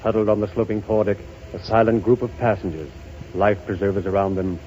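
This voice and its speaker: A man narrating a 1930s radio drama in a solemn, deliberate scene-setting style. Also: fairly low pitch, little pitch movement, mellow. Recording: muffled, hissy 1930s radio broadcast.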